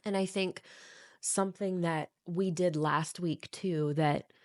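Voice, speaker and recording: A woman's voice: lowish voice, steady tone, takes it slowly, no echo. A woman co-hosting a casual podcast chat with her partner, reflective and earnest, sounding nasal from a stuffed-up nose. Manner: reflective, earnest, laughs lightly. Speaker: podcast co-host.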